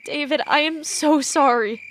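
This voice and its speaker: A young woman playing an anxious, guilt-ridden teenage girl in an audio play, earnest, apologetic and quick to justify herself. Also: high-pitched, fairly bright tone, a little hiss or hum. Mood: guilty, distraught.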